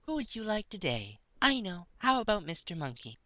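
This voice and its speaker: A man's voice: sits high, big pitch swings, normal speed, bright, forceful tone, no room sound, voice pushed up, heavily acted. Man putting on a sing-song doting mother pony. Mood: tender. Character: doting, sweet mother pony cooing to her baby.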